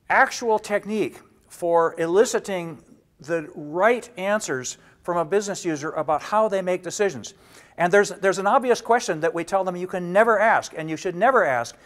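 Older man, fairly high voice, measured and explanatory, restarts phrases, lapel mic, studio. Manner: measured and explanatory, gesturing with both hands, frequent restarts. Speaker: data warehouse instructor. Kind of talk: explaining a training course to camera.

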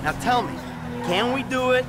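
High, animated American career robber. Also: male voice, normal speed. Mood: exasperated, explaining. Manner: casual, profane, world-weary. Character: gruff, reassuring middle-aged male career bank robber. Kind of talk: naturalistic video game dialogue. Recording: loud music over car noise.

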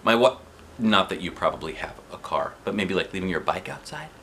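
A man reading a children's book aloud in an expressive, playful way, with chatty asides. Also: low voice, brisk, a little hiss or hum. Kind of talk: animated storybook read-aloud with chatty asides. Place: living room sofa, indoors. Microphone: camera a short distance away.

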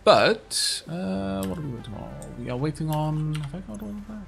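A man's voice: fairly high pitch, lively intonation, normal speed, creaky, fairly bright tone, soft background music. A young man with a Welsh accent chatting casually while playing a game with a friend, rambling with lots of fillers. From Wales. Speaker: gaming streamer. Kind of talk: casual co-op gameplay chat.

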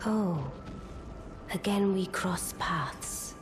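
A woman's voice: fairly low pitch, drawn-out words. An actress playing an aloof, imperious witch in a video game, speaking in stately archaic English. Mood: aloof, composed. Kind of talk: scripted video game character dialogue. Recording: loud music behind the voice. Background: music.

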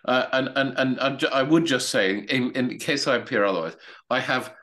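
A man speaking casually on a video call, opinionated, with frequent halting restarts. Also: medium pitch, a bit bright. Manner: hesitant, many restarts, opinionated. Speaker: meetup participant.

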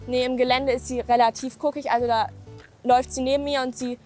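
A woman's voice: high voice, level tone, fairly quick, a little mellow, faint music behind. A young woman chatting casually and candidly as a horse owner and rider. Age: young adult. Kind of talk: casual chat.

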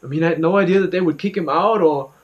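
A young man performing a dramatic monologue as an anxious, guilt-ridden student, speaking haltingly and repeating his words. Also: fairly high pitch, lo-fi sound, lightly acted. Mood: defensive, pleading. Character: anxious, guilt-ridden student confiding about a troubled friend.

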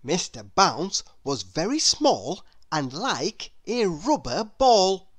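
A woman narrates a children's storybook with great expression. Her voice sits a little low and swings widely in pitch. She reads slowly and deliberately. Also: big pitch swings. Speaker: storybook reader.

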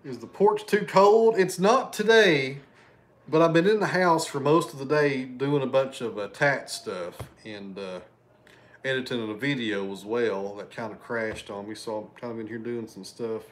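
A man's voice: mid-range voice, moderate pace. Chatty man on a home livestream. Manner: chatty, thanks viewers by name, loses his train of thought. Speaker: livestream host. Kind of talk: casual livestream chat reading super chats. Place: living room at home, indoors.

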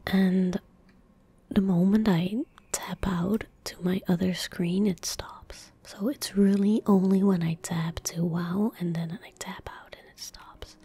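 A young woman speaking calmly and gently in a soft, breathy whisper, giving casual ASMR gameplay commentary close to the microphone. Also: fairly low pitch. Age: young adult. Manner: calm, gentle, unhurried. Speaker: ASMR gaming streamer. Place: small indoor room at a desk. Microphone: close desk microphone.

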